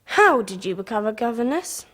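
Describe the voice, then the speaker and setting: A very high, animated young girl's voice with an upper-class English accent. She is earnest, questioning and anxious, a headstrong child of a Victorian radio drama. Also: normal speed, no echo. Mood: timid, questioning. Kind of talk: 1990s radio drama acting.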